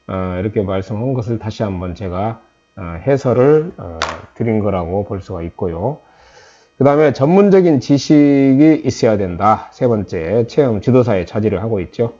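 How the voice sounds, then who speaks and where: A man's voice: mid-range voice, warm tone, dull audio. A man with a slight Jeolla Korean accent, lecturing trainees in a conversational way, with many "eo" fillers and restarts. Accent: Jeolla Korean, mostly softened.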